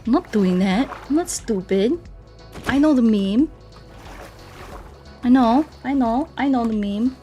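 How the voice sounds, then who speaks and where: A woman's voice: on the high side, unhurried, pauses often. Playful woman streaming over game music. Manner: playful, self-deprecating, expressive. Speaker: livestreamer playing a game and chatting with viewers. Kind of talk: casual gameplay chat, reading out viewer comments. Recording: music playing behind the voice.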